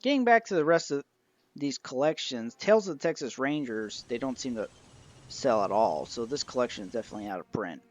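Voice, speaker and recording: A man's voice: mid-range voice, animated, fairly quick. A man narrating an informal review of a collection as its reviewer. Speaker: reviewer of an old radio show collection. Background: music.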